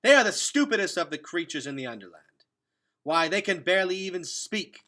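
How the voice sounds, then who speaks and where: Scornful young storybook noble, played by a man: loud, forceful, formal, dismissive, swooping emphasis. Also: high-pitched, lively intonation, brisk, pitched above the performer's own voice. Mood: angry. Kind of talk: children's novel read-aloud.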